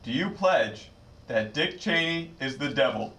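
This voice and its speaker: Man playing solemn zealot officiant, puppet comedy, slow, echoey, distant. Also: on the high side, expressive, pushed and bright. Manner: ceremonious. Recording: echoey room, distant microphone.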